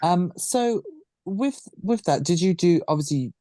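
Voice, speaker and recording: A woman hosting a casual group interview, asking questions in a rambling, halting way. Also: medium pitch, lively intonation, a crowd in the background. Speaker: podcast host.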